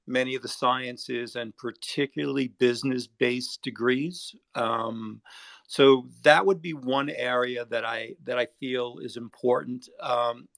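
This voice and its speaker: A middle-aged man with a New York accent, speaking as a panel guest in a halting, measured and formal way. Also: medium pitch, gravelly, fairly bright tone, no echo. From United States, New York area. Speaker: academic advisor, panel guest. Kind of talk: unscripted panel discussion.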